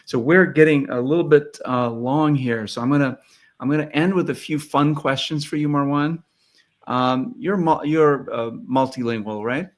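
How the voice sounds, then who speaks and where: A man's voice: on the high side, normal speed. A man hosting a podcast interview, encouraging his guest and asking follow-up questions with light fillers. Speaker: podcast host. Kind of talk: conversational interview.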